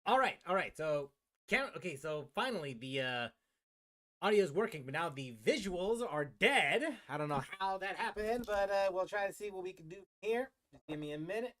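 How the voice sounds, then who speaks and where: A man's voice: high voice, animated, normal speed, a bit bright. A man chatting casually on a gaming livestream in a hesitant, apologetic way, often repeating his phrases. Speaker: game livestreamer. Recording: game music behind the voice. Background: video game music.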